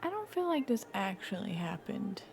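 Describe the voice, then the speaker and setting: A woman streamer giving casual, expressive reaction commentary on a video game, sometimes in hushed asides. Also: medium pitch, moderate pace. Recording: clearly noisy, game sound behind.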